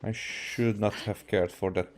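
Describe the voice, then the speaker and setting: A man from Hungary, a native Hungarian speaker, doing casual gameplay commentary and reading text aloud haltingly. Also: on the low side, fairly even intonation, slight background noise. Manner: halting, re-reads stumbled words. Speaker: game streamer.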